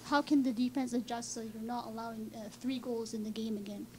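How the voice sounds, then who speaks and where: A woman's voice: fairly high pitch, steady tone, fairly quick, a little mellow, slight background noise. A woman reporter asking direct questions at a press conference.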